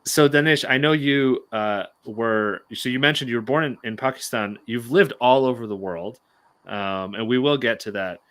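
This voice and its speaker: A man's voice: medium pitch, moderate pace, fairly bright tone. A man hosting an interview show, upbeat and chatty, with many fillers. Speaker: podcast host, former immigration attorney.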